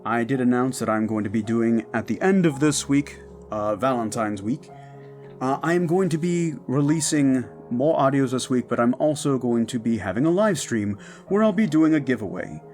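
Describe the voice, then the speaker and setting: A man's voice: mid-range voice, expressive, moderate pace, a little mellow, faint music behind. A man who makes audio roleplays, speaking in an upbeat, informal way as he gives a casual announcement to his followers.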